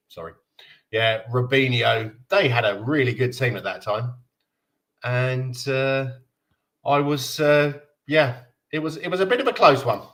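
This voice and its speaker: A man's voice: mid-range voice, fairly bright tone. A man from Denmark in his thirties hosting a casual fan live stream, chatting loosely and reading viewer comments aloud with frequent laughs. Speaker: fan livestream host.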